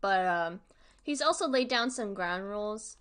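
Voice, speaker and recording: A woman's voice: medium pitch, lively intonation, unhurried, a bit bright, clean tone. A woman hosting a livestream, talking casually to viewers in a chatty, emphatic way and repeating points for emphasis. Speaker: streamer hosting a discussion.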